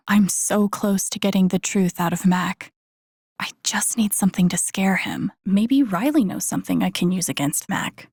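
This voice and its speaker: Creaky-voiced, introspective young woman. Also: darkish tone, no room sound, studio quality. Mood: quietly determined. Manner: low-key, introspective, muttering to herself. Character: grieving, wry, determined young woman in a drama game. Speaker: video game voice actress. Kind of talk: naturalistic game character lines, inner-monologue asides.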